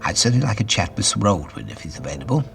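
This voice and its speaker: A man playing a courteous, inquisitive gentleman scientist and investigator, urbane and formal, in an audio drama. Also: lowish voice, sing-song, rapid-fire, creaky, loud music behind the voice. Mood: courteous, assured.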